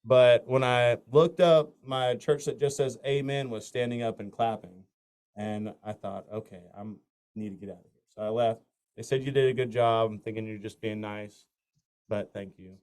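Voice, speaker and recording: A man's voice: mid-range voice, fairly even intonation, normal speed, a little mellow, clean tone. A man from north Florida, a country singer, talking casually in an interview and telling long stories full of fillers and restarts. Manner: rambling anecdotes with many fillers and restarts.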